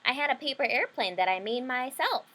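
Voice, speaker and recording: A woman's voice: high-pitched, expressive, a little fast, pushed and bright, exaggerated voice. A woman playing a young critter boy, a patient but grudging big brother telling his storybook tale. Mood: put-upon.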